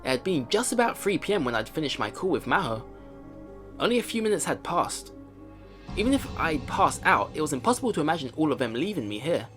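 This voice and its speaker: Male gaming host for visual novel read-alongs: high, expressive voice, casual delivery over music. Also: fast. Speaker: let's play host. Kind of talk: reading game narration aloud with casual reactions. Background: game music.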